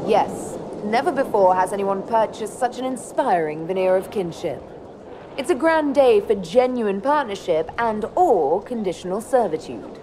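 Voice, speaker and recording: An actress playing a dry, sardonic young heroine in a video game, with a wry, deadpan delivery. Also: female voice, medium pitch, moderate pace, noisy background, natural voice. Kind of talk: dramatic video game dialogue.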